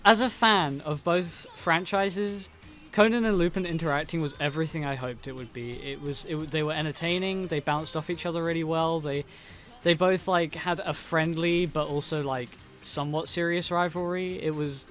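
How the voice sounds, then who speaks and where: Rambling young man, raised pitch. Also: steady tone, moderate pace, a bit bright, faint music behind. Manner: rambling, many fillers and restarts. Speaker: podcast co-host. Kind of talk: casual chat reviewing a movie.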